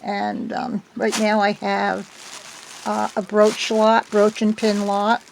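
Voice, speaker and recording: An older woman with a slightly hoarse voice from a cold, chatting at home into her phone in a meandering way, with many ums. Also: mid-range voice, completely flat delivery, slow, a little hiss or hum, rough recording. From United States. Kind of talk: casual chat. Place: at home indoors.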